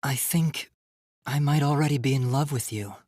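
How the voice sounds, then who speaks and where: A man's voice: medium pitch, normal speed, a little mellow, no room sound, clean studio sound, lightly acted. A man voicing an earnest, polite young male robot student in a dramatic anime game, with formal, precise, emphatic diction. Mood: shy, tender. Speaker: video game voice actor.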